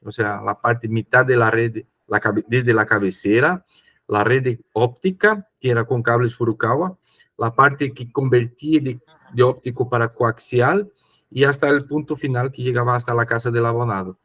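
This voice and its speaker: Man from Chile, mid pitch, rambling podcast talker. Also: moderate pace, a bit bright. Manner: rambling, with repeated words and fillers. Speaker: podcast host. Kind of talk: casual chat.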